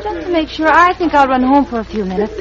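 A woman's voice: high voice, expressive, fast talker. An actress playing a sweet, anxious young woman of the Old West in vintage radio drama style. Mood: worried, polite. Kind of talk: old-time radio western acting. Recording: dull vintage radio, loud crowd.

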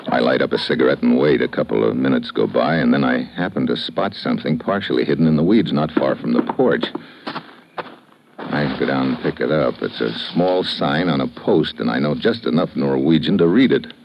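An actor playing a wry, tough American secret agent in an old-time radio drama, with clipped, slangy, deadpan delivery. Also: male voice, very deep voice, fairly quick, gravelly, background noise. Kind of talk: old-time radio drama acting with first-person narration. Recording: dull old radio recording, music behind.

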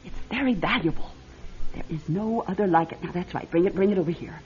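An actress playing a proud, shifty middle-aged fortune-teller in a classic radio drama, with a theatrical, grand manner. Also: female voice, fairly low pitch, fast, darkish tone, close to an everyday voice. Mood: hushed, proud. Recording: dull lo-fi radio drama recording.

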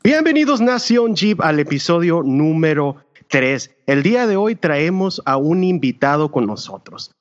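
A man's voice: fairly high pitch, very animated, unhurried. A man hosting a podcast, introducing his guest and asking questions in an upbeat, formal way. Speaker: podcast host. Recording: dull lo-fi sound.

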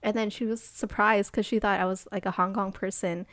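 A woman's voice: mid-range voice, steady tone, fairly quick, a bit bright, dry recording. Young woman from America, casual chatty talk, dry close sound. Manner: chatty, laughs often, many fillers. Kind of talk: casual group chat.

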